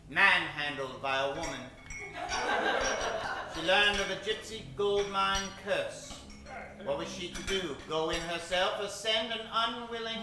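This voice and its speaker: A man narrating and announcing in a theatrical, suspenseful old-time radio style. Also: high voice. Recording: very echoey, distant stage recording with music.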